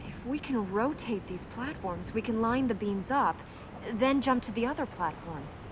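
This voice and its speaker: A woman playing a solemn, resolute young princess in a video game, speaking in an earnest, measured, matter-of-fact way. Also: expressive, lightly acted. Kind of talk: natural video game character acting. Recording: game capture with rumbling background noise.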